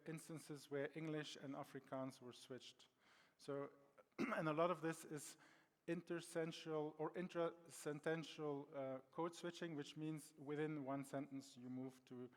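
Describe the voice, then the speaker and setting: A middle-aged man from Belgium with a Belgian accent, giving a conference presentation with frequent ums and restarts. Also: fairly high pitch. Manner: hesitant, many ums and restarts. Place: conference hall. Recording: long hall reverb.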